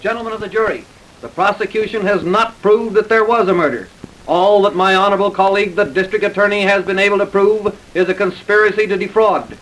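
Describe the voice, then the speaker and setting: High-voiced, oratorical attorney. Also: male voice, fairly quick, a bit bright, low-quality recording. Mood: formal, insistent. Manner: oratorical, formal. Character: earnest, formal courtroom attorney in old crime drama. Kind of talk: old-time radio acting. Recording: hissy vintage radio recording.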